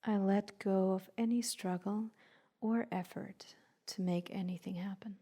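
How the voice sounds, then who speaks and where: A woman with a soft, breathy voice leading a guided meditation in a soothing, unhurried way, leaving long pauses between short instructions. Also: mid-range voice, fairly even intonation.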